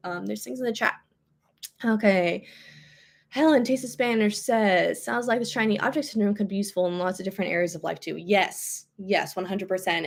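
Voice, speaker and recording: A woman in her thirties hosting a live question-and-answer stream as a language coach, talking casually and upbeat with lots of "um" and "like". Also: on the low side. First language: English. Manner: chatty, upbeat, many fillers like "um" and "like".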